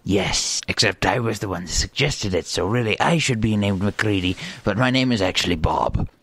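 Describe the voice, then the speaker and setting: A man's voice: on the low side, animated, moderate pace, a bit bright, breathy edge, no room sound, voice pushed down, full character voice. A man playing a boastful, bloodthirsty country leprechaun rustler in a comedy radio sketch. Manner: boastful, putting on an accent. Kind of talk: improvised comedy radio theater.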